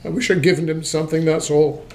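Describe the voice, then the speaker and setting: A man's voice: on the high side, level tone, fast talker, darkish tone, a little hiss or hum, close to an everyday voice. Man acting a querulous, stammering old miser. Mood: wistful, regretful. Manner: hesitant, stammering, pleading. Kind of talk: stage-style radio play acting.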